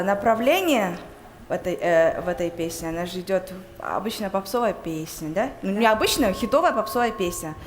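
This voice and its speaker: A woman giving a talent show critique as a judge, with hesitant, deliberate phrasing. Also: mid-range voice, a little hiss or hum. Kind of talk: spoken critique.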